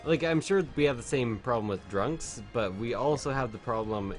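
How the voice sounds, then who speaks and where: A man's voice: mid-range voice, lively intonation, moderate pace, faint music behind. A Canadian man chatting casually and joking while streaming a video game, swearing now and then. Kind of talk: casual chat while gaming.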